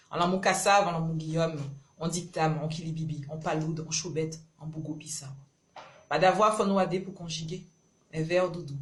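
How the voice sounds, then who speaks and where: A woman from Guadeloupe with a Creole accent, performing spoken-word love poetry in short, rhythmic, incantatory phrases. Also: low voice. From Marie-Galante, Guadeloupe. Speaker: poet.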